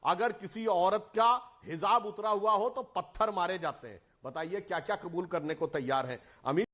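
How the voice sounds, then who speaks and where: A man's voice: high-pitched, moderate pace, fairly bright tone. A man on a television debate panel making his case with rhetorical questions and long lists of points. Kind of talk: heated TV debate argument.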